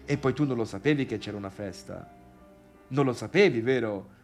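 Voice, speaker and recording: A man's voice: mid-range voice, a little fast, soft background music, natural voice. An actor playing an awkward, needy, wounded man in a theatrical monologue, with a Tuscan accent and hesitant, repetitive phrasing. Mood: tender, pleading. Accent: Tuscan Italian. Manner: hesitant, stammering repetitions and questions. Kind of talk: theatrical monologue, halting one-sided dialogue.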